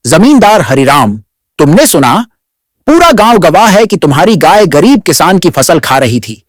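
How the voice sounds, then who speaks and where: A grave village council head in a cartoon moral story, played by a man. His voice is slightly high and moves widely in pitch, with a fair, authoritative delivery and a dry, close sound. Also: big pitch swings, dry recording. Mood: stern, grave. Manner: measured, authoritative. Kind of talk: Hindi animated moral story dialogue.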